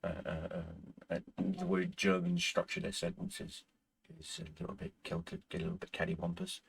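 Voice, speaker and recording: A man's voice: low-pitched, big pitch swings, moderate pace, creaky, slight background noise. A man whose first language is Irish, hosting a gaming channel in casual, rambling chat with viewers and stumbling over restarts as he is losing his voice.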